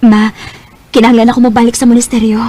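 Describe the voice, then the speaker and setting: An actress playing a gentle, dutiful grown daughter who is a nun, in a Bisaya Filipino radio drama. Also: female voice, a little high, flat delivery, quick pace, natural voice. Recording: noisy radio drama with clicks.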